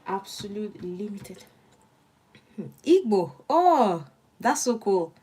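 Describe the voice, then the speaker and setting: A woman's voice: medium pitch, very animated, slow, darkish tone, husky. A young woman from Nigeria with a Nigerian accent, a law student murmuring her notes aloud and chatting with viewers on a casual study livestream. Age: young adult.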